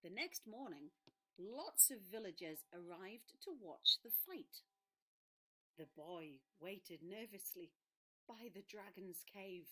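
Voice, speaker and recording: A woman storyteller reading to children in a dramatic, deliberate and clearly phrased way. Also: mid-range voice, animated, pushed and bright, poor audio. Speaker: storyteller for an English school.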